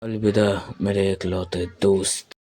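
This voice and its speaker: A man's voice: sits low, little pitch movement, slow, very noisy. A man playing a cold, determined young ninja rival in a fan-dubbed anime, with a dramatic, menacing delivery. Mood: cold farewell.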